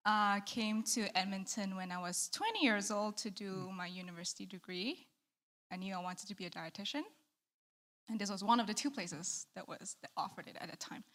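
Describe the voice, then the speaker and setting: Soft-spoken woman in her thirties. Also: mid-range voice, normal speed. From Malaysia. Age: 30s. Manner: soft-spoken, candid, laughs between thoughts. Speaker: church member giving testimony. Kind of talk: interview testimony.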